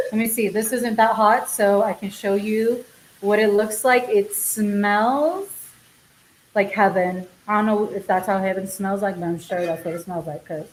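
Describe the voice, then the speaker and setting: Playful chatty woman hosting in a kitchen. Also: mid-range voice. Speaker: cooking show host. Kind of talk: casual cooking chat. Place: home kitchen. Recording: echoey kitchen with faint noise.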